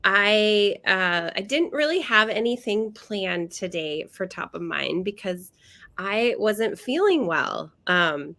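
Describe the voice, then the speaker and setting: A woman co-hosting a casual chat over a video call, nasal from a cold, talking haltingly with many fillers and restarts. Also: medium pitch, animated, pushed and bright. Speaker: co-host.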